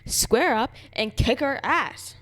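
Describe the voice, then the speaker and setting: A woman's voice: high-pitched, lively intonation, slow, a bit bright. A woman livestreaming a game, reacting casually and playfully with exclamations and swearing. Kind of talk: casual live reaction commentary. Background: game music.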